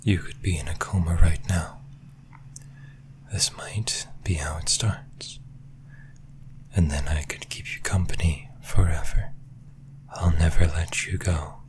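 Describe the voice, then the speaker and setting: Man whispering as a very deep, possessive demon. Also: steady tone. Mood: possessive, menacing. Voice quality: breathy, whispered, very low. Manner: slow, menacing, teasing. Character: possessive, taunting yandere sleep paralysis demon with a tail. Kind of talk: whispered ASMR roleplay.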